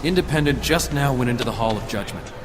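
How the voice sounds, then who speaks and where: An actor playing a composed, reassuring friend in an audio drama, steadying a nervous companion. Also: male voice, mid-range voice, animated, fast talker, in a large hall, crowd noise, lightly acted.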